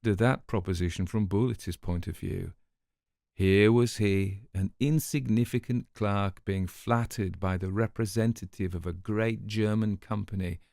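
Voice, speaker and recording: A man's voice: on the low side, a little slow, creaky, a little mellow, dry recording. An actor playing an urbane, sardonic older man telling a story in a radio drama, with dry irony and precise wording. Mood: dry amusement.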